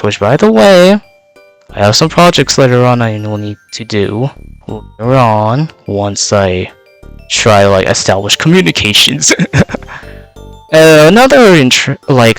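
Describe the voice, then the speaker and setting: A man's voice: fairly low pitch, expressive, a little slow, fairly bright tone, smooth, no room sound, faint music behind, poor audio. A young man, a native Vietnamese speaker, gives a casual in-character self-introduction as a VTuber. He rambles with many filler words, and his voice is slightly worn out.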